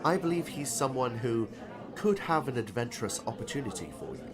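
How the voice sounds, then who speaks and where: An actor playing a kindly, encouraging novelist in an audiobook drama, speaking in a measured, reflective way. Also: male voice, medium pitch, expressive, crowd noise, natural voice. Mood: thoughtful, encouraging. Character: kindly male novelist, thoughtful and gently encouraging.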